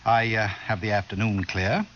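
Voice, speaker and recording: An actor playing a courteous, resigned older overlord in a 1950s radio drama, making urbane, hesitant small talk. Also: male voice, fairly low pitch, fairly even intonation, moderate pace, fairly bright tone, natural voice. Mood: genial, resigned. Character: genial, courteous doomed overlord, resigned older male ruler. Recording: dull, noisy vintage radio recording.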